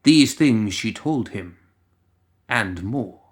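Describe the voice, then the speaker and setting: A man reading a scripted story as narrator, in a theatrical, ominous manner with lingering pauses. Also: on the low side, expressive.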